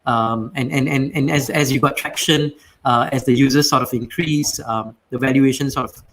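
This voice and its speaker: A man's voice: mid-range voice, fairly even intonation, moderate pace, fairly bright tone. A man hosting an interview, asking long, rambling questions full of fillers. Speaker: podcast host.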